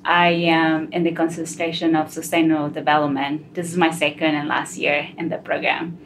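A young woman from Ecuador speaks, carefully and with many pauses and fillers. Her voice is fairly low. A faint hiss sits beneath the recording. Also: level tone, low-quality recording. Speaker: graduate student guest. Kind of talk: conversational interview answers.

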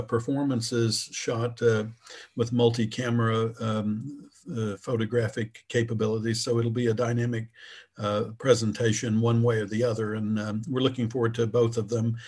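A man with a husky, slightly rough voice hosts an interview. He asks polite, rambling questions and fills the pauses with "uh" and "um.". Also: medium pitch, darkish tone. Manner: halting, polite questions with many "uh"s. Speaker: interview host. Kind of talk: interview hosting.

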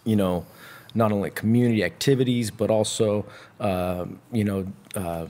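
Low-voiced guy chatting easy, lots of you know, i mean. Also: moderate pace. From Santa Cruz, California. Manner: relaxed, many fillers like "you know, I mean". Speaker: jiu-jitsu instructor and gym owner. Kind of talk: casual interview answers.

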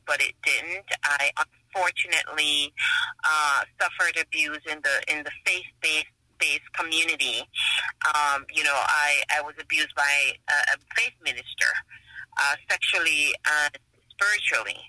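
A woman from Jamaica with a Jamaican accent speaks in a podcast interview. Her voice is intensely bright and tense, and she talks earnestly and reflectively. The sound is dry and close. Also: deep voice, moderate pace, no echo, low-quality recording. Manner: earnest, reflective, restarts phrases often. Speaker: guest author.

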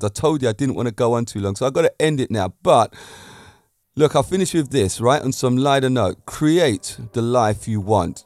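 A man's voice: medium pitch, a little mellow, no echo. Assured, emphatic man. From Britain. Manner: self-assured, emphatic, with rhetorical questions. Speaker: podcast host. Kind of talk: motivational monologue.

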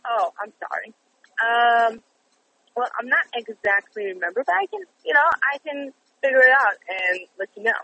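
A woman in her late twenties with an Israeli accent, a guest who talks in a halting, giggly way while searching for words. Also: fairly high pitch, expressive, sharp tone, low-quality recording. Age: 20s. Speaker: guest performer. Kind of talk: casual interview chat.

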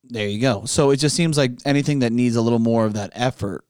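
A man co-hosting a casual talk show, offering commentary and thinking out loud in a conversational way. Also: mid-range voice, fast talker, clean tone, dry recording, clean studio sound. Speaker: podcast co-host.

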